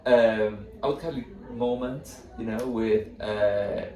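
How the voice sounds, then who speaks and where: A man from Venezuela with a Venezuelan Spanish accent, interviewing in a casual, reflective way with halting, searching phrasing. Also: lowish voice, fairly even intonation, crowd noise. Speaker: podcast host, interviewer. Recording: echoey room, distant mic, background noise.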